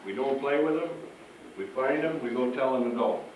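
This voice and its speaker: A man's voice: mid-range voice, normal speed, darkish tone. A man presenting as a firefighter to children, speaking in simple instructive terms. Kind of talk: live children's safety presentation. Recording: echoey, distant, noisy room.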